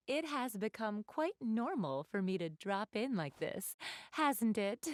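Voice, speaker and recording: A refined young goddess from a video game, with a fairly high feminine voice. She takes her time, choosing polite, formal words as she addresses the listener. The sound is very dry and close, free of room reflections. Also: lively intonation, slow pace, no echo. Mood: earnest, thoughtful. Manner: polite, formal, ladylike phrasing. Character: elegant, refined young goddess with gamer enthusiasm.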